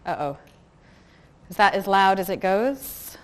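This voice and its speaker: A middle-aged woman with a soft, quiet voice giving a chatty conference talk about customer service, full of ums and light asides. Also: mid-range voice, lively intonation, measured pace. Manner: chatty, frequent ums and uhs, self-deprecating asides. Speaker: conference speaker. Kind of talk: conference talk to a live audience. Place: conference room with audience.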